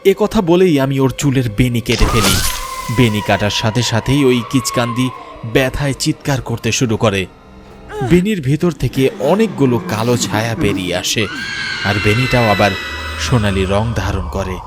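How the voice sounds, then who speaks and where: A man's voice: mid-range voice, moderate pace, music in the background, close to an everyday voice. A man playing a frightened young man, a betrayed lover telling his horror story in an earnest, dramatic first-person narration. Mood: tense narration.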